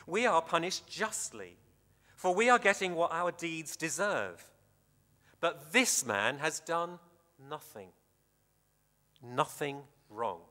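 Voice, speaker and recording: A man preaching a sermon in a measured, deliberate way with dramatic emphasis, his voice echoing in a hall. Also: fairly high pitch, expressive, pushed and bright. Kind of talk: sermon with scripture reading. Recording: reverberant hall, long echo.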